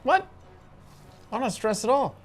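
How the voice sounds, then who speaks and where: A man's voice: very high-pitched, big pitch swings, measured pace, soft background music. A man playing a tabletop role-playing game, bantering casually and declaring moves.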